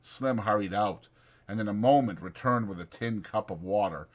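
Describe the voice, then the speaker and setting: A man's voice: fairly low pitch, moderate pace. A man narrating a novel as a dramatized audiobook.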